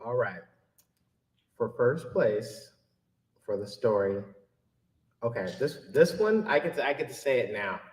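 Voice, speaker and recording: Animated man presenting, distant and echoey. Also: medium pitch, unhurried, long pauses. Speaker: award presenter. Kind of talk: casual, halting live presenting. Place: library event room with audience. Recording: echoey room, far from mic.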